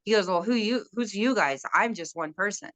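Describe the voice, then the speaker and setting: A young woman with a loud voice, casually telling a story about a stubborn resident at work and acting out both sides. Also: medium pitch, moderate pace, no room sound. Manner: animated storytelling, quoting others. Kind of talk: casual conversation.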